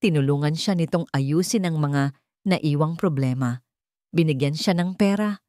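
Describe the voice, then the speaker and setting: A woman's voice: deep voice, normal speed, darkish tone, dry recording, clean studio sound. A woman with a Filipino accent narrating a scripted dramatic story.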